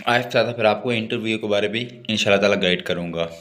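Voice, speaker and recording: A man's voice: fairly low pitch, fast talker, fairly bright tone. A man with a Pakistani accent giving an exam-focused lecture, switching between Urdu and English as he reads passages and explains them. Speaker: law lecturer.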